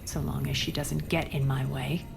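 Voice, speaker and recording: A woman's voice: sits low, level tone, moderate pace, vocal fry, music in the background. A woman playing a ruthless, haughty alien crime queen in a video game, speaking with cool deliberation. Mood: cool, imperious.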